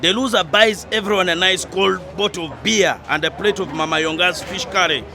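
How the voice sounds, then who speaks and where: An actor in a radio drama playing a teasing, cocky village domino player with a playful, boastful manner. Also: male voice, high-pitched, brisk, bright, forceful tone, a little hiss or hum.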